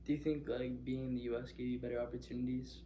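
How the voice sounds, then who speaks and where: A male interviewer who asks simple, halting questions in a flat, mellow voice with a slightly gravelly grain. His delivery barely rises or falls. The sound carries a roomy echo from the room. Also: mid-range voice, a little hiss or hum.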